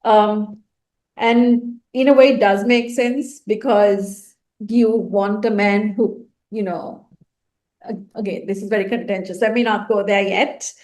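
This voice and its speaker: An excitable woman from Sri Lanka co-hosts a podcast in a mid-pitched voice. She talks eagerly, and her thoughts tumble out faster than she can finish them. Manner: excitable, eager, sentences running ahead of her. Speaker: podcast co-host, writer and speaker. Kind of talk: casual podcast chat.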